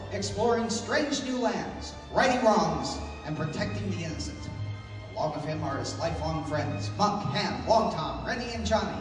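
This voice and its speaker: A man playing an excitable 1930s radio serial announcer, grandly theatrical, with booming drawn-out delivery. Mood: grand, rousing. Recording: echoey hall, distant mic, music behind.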